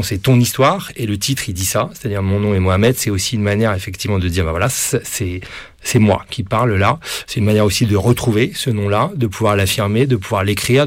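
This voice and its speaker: A man's voice: lowish voice, dry recording. A man hosting a radio interview, talking in long rambling sentences full of restarts and fillers. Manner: rambling, many restarts and fillers, warmly admiring. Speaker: radio host.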